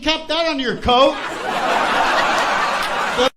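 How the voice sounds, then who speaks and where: A man doing stand-up crowd work, teasing audience members in a halting back-and-forth. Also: very high voice, steady tone. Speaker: stand-up comedian. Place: comedy club stage with audience laughter. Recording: roomy live club, loud crowd noise.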